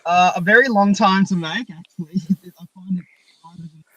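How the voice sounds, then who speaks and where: A young man hosting a casual live stream chat in a halting, hesitant way. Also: sits high, sharp tone, no room sound, low-quality recording. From Australia. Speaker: live stream host and fan-dub project leader.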